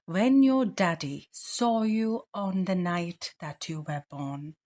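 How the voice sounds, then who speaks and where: A woman's voice: on the low side, takes it slowly, darkish tone. A woman voicing a tender, adoring mother bear with a soothing manner in a children's storybook read-aloud. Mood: fond.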